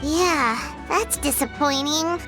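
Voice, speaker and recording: A woman voicing a small, chirpy, childlike fairy companion in a video game, with a squeaky voice. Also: slow. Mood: disappointed. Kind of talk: game voice acting. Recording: music behind the voice.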